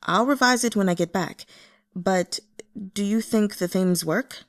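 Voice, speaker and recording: A man's voice: high voice, measured pace, a bit bright. A woman voicing a humbled, eager male would-be author in an audiobook, hesitant and deferential. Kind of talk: audiobook character dialogue.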